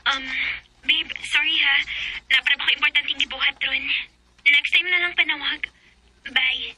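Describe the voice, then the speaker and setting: A woman's voice: high-pitched, steady tone, normal speed, bright, forceful tone, low-quality recording. An actress playing a young, coy and evasive girlfriend in a radio drama, with a Cebuano Filipino accent.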